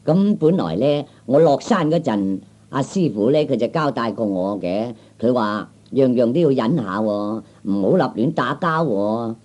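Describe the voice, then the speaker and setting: A man acts out a scrawny kung fu braggart in a Cantonese radio comedy. His voice is mellow and swoops around as he boasts in a halting way, peppered with interjections. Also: medium pitch, lively intonation, close to an everyday voice. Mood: smug, mock-modest. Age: 30s. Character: small skinny boastful kung fu braggart, aged thirty. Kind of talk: Cantonese comic radio play acting.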